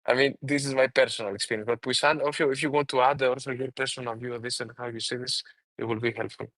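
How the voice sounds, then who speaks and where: Man giving halting webinar advice, dry sound. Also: medium pitch, steady tone, a bit bright, dry recording, low-quality recording. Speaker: webinar speaker and mentor.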